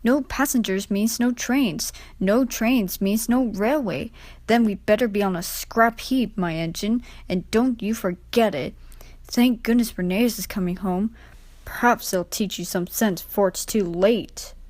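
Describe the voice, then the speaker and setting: A woman voicing a wise old male steam engine in a children's storybook, measured, earnest and gently reproving. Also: mid-range voice, no echo, natural voice.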